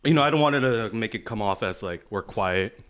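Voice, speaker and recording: A young man co-hosting a podcast, talking in a relaxed, slangy way while sounding earnest about his views. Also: fairly low pitch, brisk, smooth. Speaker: podcast co-host. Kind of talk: casual chat.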